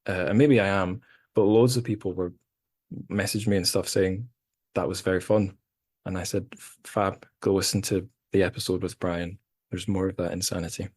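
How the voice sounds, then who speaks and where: A man's voice: low-pitched, steady tone, normal speed, darkish tone, slightly rough, dry recording. A man hosting a podcast, chatting casually in a rambling, self-deprecating way with many restarts. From Scotland. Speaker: podcast host. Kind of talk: casual chat.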